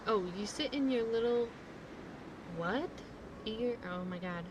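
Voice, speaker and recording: A woman's voice: on the high side, measured pace, dull audio, background noise. A woman livestreamer giving casual, joking reaction commentary while she plays a game. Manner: informal, joking, interjecting. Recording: stream voice over loud game music.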